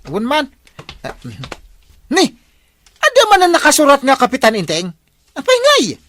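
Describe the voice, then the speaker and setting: A woman's voice: high-pitched, wildly swooping pitch, normal speed, a bit bright, dry recording, studio quality, exaggerated voice. A woman with an Ilocano accent playing a feisty, protesting villager in a comedy radio drama.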